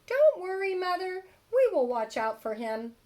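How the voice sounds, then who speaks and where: A woman's voice: extremely high pitch, very animated, a little slow, a little mellow, voice pushed up, heavily acted. A woman voicing small, polite, plucky young wolves in a children's storybook read-aloud. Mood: eager, polite.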